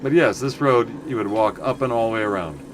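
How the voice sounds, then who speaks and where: A man casually commenting on his game for viewers, rambling and correcting himself as he goes, with a loud voice. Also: medium pitch, fairly quick, a little hiss or hum. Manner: rambling, self-correcting, chatty asides to viewers. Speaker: livestream game player.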